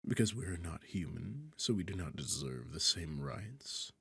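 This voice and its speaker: A sardonic demon king, acted by a man, low and gravelly, speaks close and dry in an intimate fantasy audio roleplay. He takes his time, teasing and condescending, with a bored, seductive arrogance. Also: animated, moderate pace, a bit bright, no echo, heavily acted. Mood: sardonic, firm.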